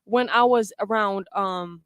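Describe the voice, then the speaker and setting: A woman's voice: mid-range voice, a little slow, clear, resonant tone, no echo, dull audio. An eighteen-year-old woman from the Philippines and Norway, chatting casually as an interview guest in a slangy, laid-back way. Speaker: guest, singer and independent artist. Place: radio studio.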